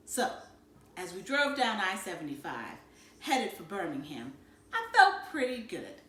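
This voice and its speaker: Animated woman reading in an echoey room. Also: mid-range voice, unhurried. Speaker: read-aloud narrator. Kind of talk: expressive storybook narration.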